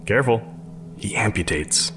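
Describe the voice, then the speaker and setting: Man playing a low, dry, distant-sounding colleague. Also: animated, normal speed. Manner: dry, needling. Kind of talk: audio drama acting. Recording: distant mic under loud music.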